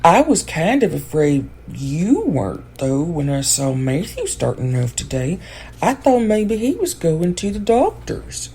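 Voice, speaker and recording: A woman's voice: on the low side, huge pitch swings, measured pace, mellow, slightly rough, heavily acted. A woman voicing a nosy, easily scandalized neighbour woman from a storybook, with a demanding manner. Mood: prying. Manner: demanding, exclamatory. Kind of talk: storybook character voice in an audiobook reading.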